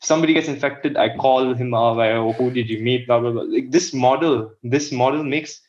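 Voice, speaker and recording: A man's voice: medium pitch, normal speed, a little mellow, highs cut off. A young man from the Philippines, a podcast guest, who talks casually and rambles with many restarts and "like" fillers. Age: young adult. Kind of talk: casual interview talk.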